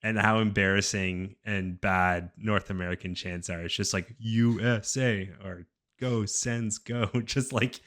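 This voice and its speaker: A man's voice: lowish voice, fairly even intonation, unhurried, a bit bright. A man hosting a casual sports podcast, easygoing as he steers the talk and hands out grades. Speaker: podcast host.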